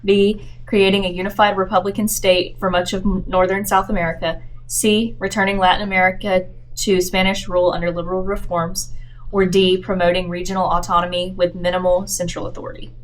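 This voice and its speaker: A woman's voice: lowish voice, little pitch movement, fairly quick, a little hiss or hum, poor audio. A woman reading multiple-choice quiz questions aloud during a board game. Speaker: game player. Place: indoor room at a university.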